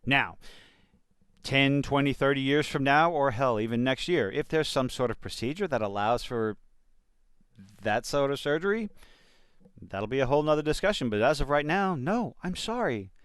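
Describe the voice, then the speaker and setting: A man's voice: mid-range voice, expressive, normal speed, a bit bright, clean tone, no echo, clean studio sound. A man giving opinionated commentary in a blunt, sardonic and emphatic manner. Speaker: commentator reacting to entertainment news. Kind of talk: opinionated commentary with quotes read out.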